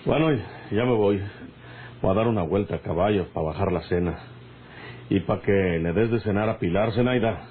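Low-voiced bold Mexican cowboy. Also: male voice, moderate pace, pauses often, breathy edge. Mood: wry. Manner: folksy rural speech. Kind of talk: old radio drama acting. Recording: tinny, noisy old radio recording.

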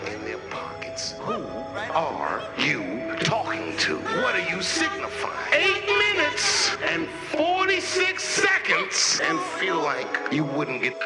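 Impassioned man with wildly swinging high pitch. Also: wildly swooping pitch, slow pace, hardly any pauses. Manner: impassioned, profane, emphatic. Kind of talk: impassioned rant in an archive clip. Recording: echoey archive clip under loud music.

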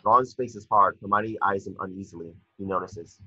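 A man's voice: sits low, normal speed, pushed and bright, breathy edge, no room sound. A young man narrating scripted stage directions from a screenplay over a video call. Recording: dull, muffled video call audio.

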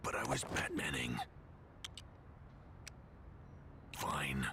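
An actor playing an arrogant, brooding superhero in a terse, gravelly, breathy growl for an animated film. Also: male voice, a bass voice, moderate pace, heavy background noise, full character voice. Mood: smug, curt. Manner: terse, self-important, deadpan. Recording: loud music behind.

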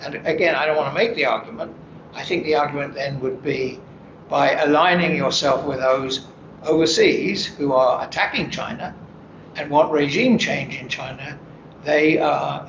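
A man in his seventies, a retired official, answering interview questions in a measured way that hedges and qualifies each point. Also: fairly high pitch, steady tone, reverberant, muffled recording, slight background noise. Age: 70s. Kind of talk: studio interview conversation. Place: green-screen TV studio, seated.

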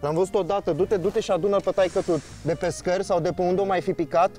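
An actor playing a wealthy man who shouts angry, repetitive accusations at his girlfriend. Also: sits high, steady tone, soft background music, close to an everyday voice. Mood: furious.

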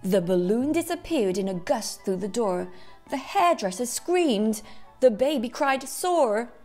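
A woman's voice: on the high side, expressive, unhurried, darkish tone. A woman narrating a rhyming children's storybook aloud with theatrical, dramatic phrasing. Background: classical music.

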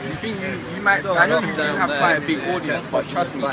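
A man's voice: fairly high pitch, fairly quick. A man speaking earnestly and insistently in casual street chat, often repeating himself. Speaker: supporter in the crowd. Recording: loud crowd noise outdoors.

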